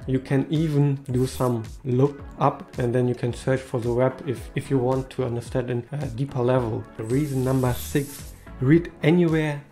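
A man in his thirties speaking English as a second language, with a mellow, warm tone and slightly non-native phrasing. He talks to camera from a small room, upbeat and casual. Faint music sits under his voice. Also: medium pitch, steady tone, moderate pace. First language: not English. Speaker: tech video host.

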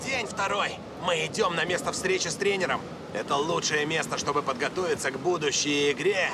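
A man voicing an earnest young footballer in a dubbed cartoon, animated and slangy. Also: fairly high pitch, brisk, heavy background noise, natural voice.

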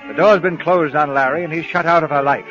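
A man's voice: fairly high pitch, fast talker, fairly bright tone. A man narrating a 1940s radio drama from a script. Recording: muffled old radio broadcast. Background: orchestral music.

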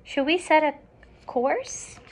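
Woman voicing huge gentle whale, lonely, kindly storybook sea creature, female reader's register. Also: high-pitched, expressive, slow pace, a little hiss or hum, pitched above the performer's own voice, heavily acted. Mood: helpful.

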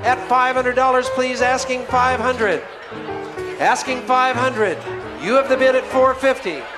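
A man's voice: extremely high pitch, a little slow, over music, a crowd in the background. A middle-aged man working as an auctioneer at a live benefit auction, chanting repeated bids into a handheld microphone in a banquet hall. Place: large banquet hall, on stage. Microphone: handheld microphone through a PA.

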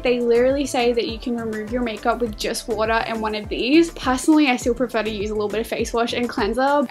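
A woman, chatting casually and giggling as she vlogs around her apartment on a handheld camera. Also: sits high. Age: 20s. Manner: chatty, giggly, self-deprecating. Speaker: lifestyle vlogger. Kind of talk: casual vlog chat. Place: home kitchen and bedroom of an apartment. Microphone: handheld camera at arm's length.

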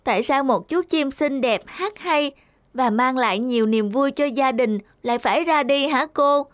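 Woman playing a high-voiced curious little boy. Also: normal speed, no room sound, natural voice. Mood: sad. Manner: earnest, questioning.

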